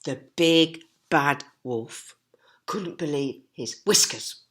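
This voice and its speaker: A woman's voice: low-pitched, slow, fairly bright tone. A woman storyteller reading expressively to young children, with chatty questions and asides to them. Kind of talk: animated storybook narration with asides to listeners.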